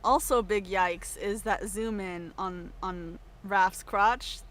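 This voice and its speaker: A woman chatting casually on a livestream, expressive and given to playful exclamations. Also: mid-range voice, takes it slowly. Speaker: livestream guest on an art work stream. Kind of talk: casual banter. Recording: noticeable background noise.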